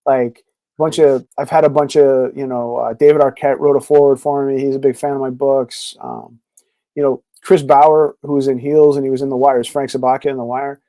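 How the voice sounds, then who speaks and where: A man's voice: medium pitch, little pitch movement, a little fast, mellow, dry recording, poor audio. A man being interviewed as a podcast guest, talking casually and rambling with lots of fillers. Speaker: podcast guest, comic creator.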